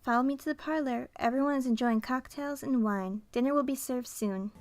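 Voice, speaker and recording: A woman acts out a composed young servant in a radio play. Her voice is fairly high, with a courteous, formal delivery. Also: fairly quick, lightly acted. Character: polite, composed young maid of an eerie manor. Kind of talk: radio drama acting.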